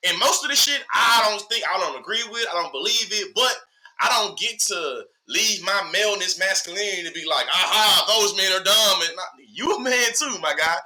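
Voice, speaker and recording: A man's voice: high-pitched, moderate pace. A man in his early thirties from Texas with a Southern accent, talking casually and animatedly in slangy, rhetorical bursts as a podcast guest. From Texas, USA. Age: early 30s. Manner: animated, rhetorical, slangy, often checks listener follows. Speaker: podcast guest, social media educator. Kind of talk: casual, opinionated conversation.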